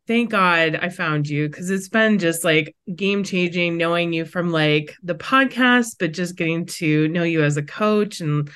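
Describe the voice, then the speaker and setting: Midwestern lady in her 40s, kinda low voice, bubbly and chatty, says like a lot, podcast guest. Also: a little slow, few pauses, a bit bright, clear, resonant tone. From Wisconsin, USA. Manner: bubbly, chatty, lots of "like" fillers. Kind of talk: casual interview chat.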